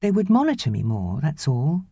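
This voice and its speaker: A woman voicing a gentle, wistful young wife and mother in an audiobook, softly and hesitantly pleading. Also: deep voice, big pitch swings, moderate pace, slightly rough, no room sound, studio quality, pitched above the performer's own voice, heavily acted. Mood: quietly pleading.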